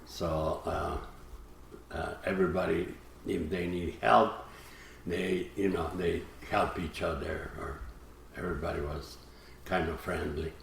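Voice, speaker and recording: Older Croatian-accented man, deep and slow, halting broken English, guest storyteller, echoey room. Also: steady tone, gravelly, a little hiss or hum. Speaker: guest, retired fisherman. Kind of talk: interview.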